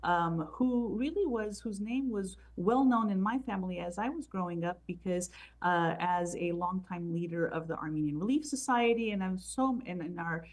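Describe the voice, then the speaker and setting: Halting, lower-voiced woman recounting history. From San Francisco, United States. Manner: hesitant, many fillers. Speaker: guest, community activist. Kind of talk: conversational interview answers.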